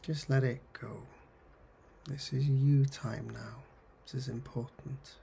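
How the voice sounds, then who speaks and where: Male meditation guide: unhurried, gravelly and husky, soothing, gently instructive, medium pitch. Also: steady tone, a little hiss or hum. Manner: soothing, gently instructive, pausing between short phrases. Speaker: counsellor guiding mindfulness. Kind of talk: guided meditation, body scan.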